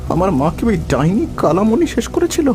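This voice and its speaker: A man acts an earnest, distressed villager in a cartoon folktale. His voice is notably high and melodramatic, with music clearly behind it. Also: wildly swooping pitch, moderate pace, exaggerated voice. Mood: shocked, urgent. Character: earnest, distressed male character in a village tale. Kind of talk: melodramatic Bengali cartoon acting.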